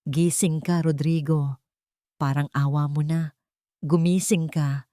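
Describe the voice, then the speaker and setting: A woman's voice: deep voice, steady tone, slow pace, warm tone, dry recording, clean studio sound. A woman voicing a terrified, imploring captive who shields a small child in a melodramatic audio story. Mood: desperate.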